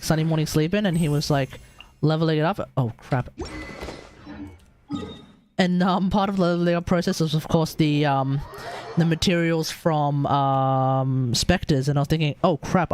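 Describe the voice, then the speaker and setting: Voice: medium pitch, fairly even intonation, moderate pace, darkish tone, slight background noise. An Australian streamer giving casual gaming commentary, muttering to themselves and mildly swearing as they go. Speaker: livestream host, gamer. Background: video game music.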